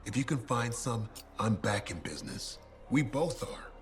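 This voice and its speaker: A man's voice: medium pitch, lively intonation, normal speed, natural voice. An actor playing a boastful male merchant who sells custom gear, speaking in colorful salesman patter. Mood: salesy. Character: boastful male weapon decal merchant, showman. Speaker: craftsman merchant. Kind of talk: video game dialogue.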